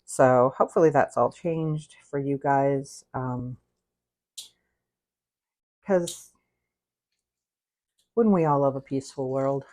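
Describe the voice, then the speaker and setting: A woman's voice: extremely low pitch, slow pace, pauses often, a little mellow. A woman in her sixties with an American accent, chatting casually in a candid, meandering way, often interrupting herself as she hosts a cooking livestream. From United States. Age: 60s. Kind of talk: casual rambling livestream chat while cooking.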